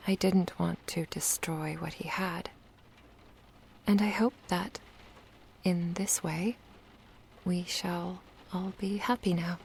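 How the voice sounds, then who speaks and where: A woman playing a lovestruck, lonely witch in an ASMR roleplay, soft-spoken, whispery and seductive in a tender, intimate way. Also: on the low side, fairly even intonation, a little hiss or hum. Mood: wistful, earnest. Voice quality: breathy, whispery. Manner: slow, tender, intimate delivery.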